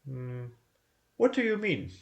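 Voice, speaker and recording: A man voicing an old male wizard, wise and gruff, speaking deliberately. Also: mid-range voice, wildly swooping pitch, darkish tone, heavily acted. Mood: probing.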